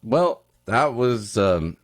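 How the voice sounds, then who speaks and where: A man's voice: mid-range voice, very animated, drawn-out words, no echo, clean studio sound. A man hosting a podcast, trading wry, joking, casual banter. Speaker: podcast host.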